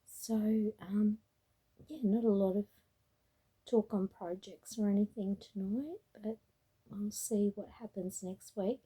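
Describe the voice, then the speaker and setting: A woman winding down after a long day, chatting to her tablet camera about her crochet. Her voice is mellow and low in brightness, with a husky, raspy edge. She speaks unhurriedly, meandering and pausing often. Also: fairly even intonation, rough recording. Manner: meandering, with frequent ums and restarts. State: tired. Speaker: crochet vlogger. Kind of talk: casual late-night rambling vlog.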